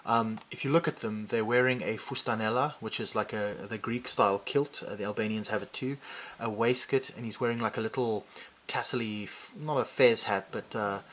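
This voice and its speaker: A man, somewhat low-pitched, talks through his hobby haul in a loose, rambling way. He restarts sentences often and scatters "um" and "uh" throughout. His voice picks up when he holds figures to the camera. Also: fairly even intonation, moderate pace, a bit bright. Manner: rambling, many fillers and restarts; gets livelier when showing figures up close. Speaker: hobby channel host. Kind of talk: casual chat about miniature figures.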